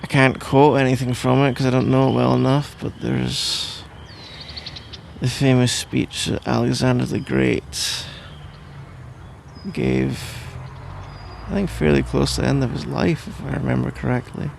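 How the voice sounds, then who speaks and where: A man's voice: mid-range voice, flat delivery, measured pace, creaky, a little hiss or hum. A man playing a sleepy, cuddly husband in an intimate ASMR roleplay, drowsy, affectionate and rambling, with playful melodrama. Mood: musing, rambling.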